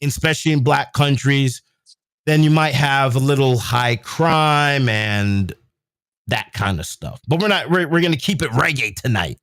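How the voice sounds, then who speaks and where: A man's voice: medium pitch, expressive, measured pace, fairly bright tone, dry recording. Young English male host, laid-back conversational storyteller, dry room. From England. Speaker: podcast host. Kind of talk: casual chat.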